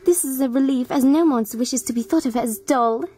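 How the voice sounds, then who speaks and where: Woman as a formal, high-voiced robot girl. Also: fairly quick, mellow, husky. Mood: proud, formal. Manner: formal, precise. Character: old-fashioned, daredevil robot girl from a cartoon.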